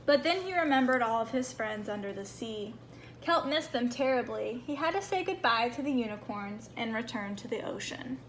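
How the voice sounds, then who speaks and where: High-voiced woman reading a children's storybook. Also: normal speed, slight background noise, poor audio. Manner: animated, acts out small moments. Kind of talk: expressive children's read-aloud.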